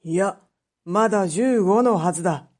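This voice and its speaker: A woman's voice: medium pitch, unhurried, darkish tone, close to an everyday voice. A woman voicing a stern but merciful male magistrate in a storybook reading, grave and authoritative. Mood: insistent. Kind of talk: storybook read-aloud acting.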